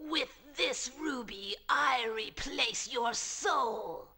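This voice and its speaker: An actress voicing a menacing female villain minion in a game cutscene, delivering slow, theatrical threats. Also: a little high, sharp tone, husky, full character voice.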